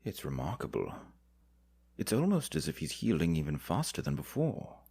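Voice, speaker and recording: An actor playing a learned, perplexed physician in a fantasy audio drama, with formal, measured wording and a gravelly, husky voice. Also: male voice, extremely low pitch, wildly swooping pitch, mellow, no room sound, studio quality, exaggerated voice. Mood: baffled, awed. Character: perplexed, learned male physician tending a prince.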